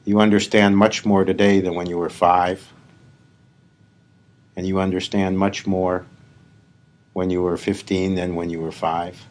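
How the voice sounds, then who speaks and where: Low-voiced man guiding a meditation. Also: little pitch movement. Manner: measured, deliberate, with long pauses between short phrases. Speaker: spiritual teacher leading a guided meditation. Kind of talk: live guided meditation talk.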